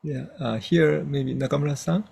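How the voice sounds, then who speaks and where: A man, a reverend hosting a memorial event, talking softly with many pauses, fillers and restarts. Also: fairly high pitch, gravelly, slightly rough. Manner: soft-spoken, full of fillers and restarts. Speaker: host, reverend.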